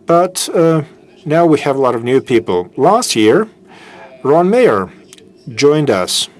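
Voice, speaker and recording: A man who heads a business school program, giving a formal, halting welcome speech into a microphone in a lecture room, pausing often on fillers. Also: fairly high pitch, very animated, a little mellow. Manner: slow and halting, with many filler pauses. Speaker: business school program head introducing a guest speaker. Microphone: handheld microphone.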